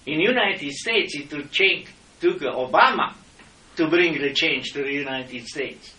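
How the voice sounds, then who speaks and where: Middle-aged Czech-accented man, impassioned and lecturing, slightly high, recorded far off in an echoey room. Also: expressive, slow, bright, forceful tone. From Czech Republic. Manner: impassioned, lecturing, non-native grammar. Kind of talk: heated argument with officials. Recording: echoey room, mic at a distance.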